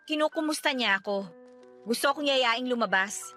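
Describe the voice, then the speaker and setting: A woman's voice: a little high, normal speed, pushed and bright, faint music behind. A woman playing a hurt, clinging wife in a radio drama, with emotional, pleading delivery. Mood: wounded, upset. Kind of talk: radio drama dialogue in Tagalog.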